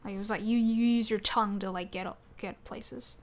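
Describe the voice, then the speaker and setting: A woman's voice: mid-range voice, steady tone, moderate pace. A woman co-hosting a podcast, chatting casually about video games in an enthusiastic, rambling way. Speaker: podcast co-host.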